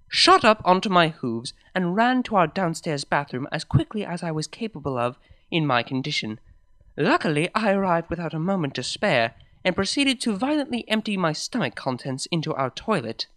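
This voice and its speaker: A woman reading a first-person story aloud, expressive and dramatic. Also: lowish voice, no echo. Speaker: fanfic reader.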